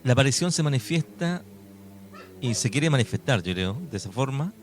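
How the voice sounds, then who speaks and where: Mid-pitched Chilean man, radio co-host. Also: expressive, normal speed. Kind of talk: conversational paranormal radio talk. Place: radio studio. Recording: music bed under studio talk. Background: music.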